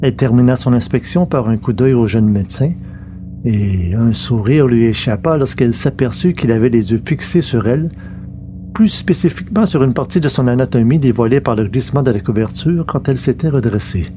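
A man's voice: mid-range voice, fairly quick, slight background noise. A man reading scripted narration for an audio story, as its narrator. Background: loud music.

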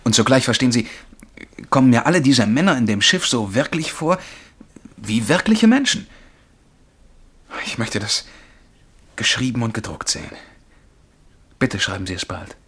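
A man's voice: mid-range voice, very animated, normal speed, pauses often, a bit bright, slightly rough. An actor playing an eager, self-deprecating young male bank clerk who dreams of writing, in a German radio play. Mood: agitated, insistent.

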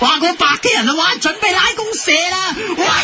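Man acting a high-pitched, noisy Hong Kong sports co-presenter. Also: fast. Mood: frantic. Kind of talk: parody football commentary with frantic repetition.

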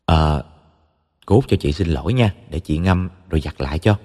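A man's voice: lowish voice, animated, fairly quick, dark tone, pitched above the performer's own voice. A man voicing a hesitant, submissive young daughter-in-law in an audio story, with a Southern Vietnamese accent. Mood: apologetic. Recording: long hall reverb.

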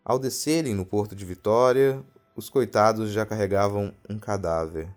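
Low-voiced Brazilian man narrating horror. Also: normal speed. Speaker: podcast narrator of a true ghost story. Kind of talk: scripted horror storytelling narration. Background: background music.

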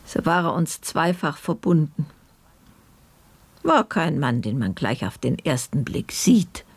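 An actress playing an older grandmother who narrates her youth as a maidservant in an unhurried, reflective radio drama style. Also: low-pitched, sing-song, slightly rough, no room sound, heavily acted. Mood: reflective, fond.

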